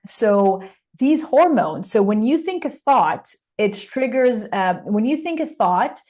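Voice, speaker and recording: A woman's voice: fairly high pitch, lively intonation, takes it slowly, darkish tone. A woman from Israel whose native language is Hebrew, explaining ideas as an interview guest in an emphatic way, repeating phrases to drive them home. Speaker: guest, success and mindset coach.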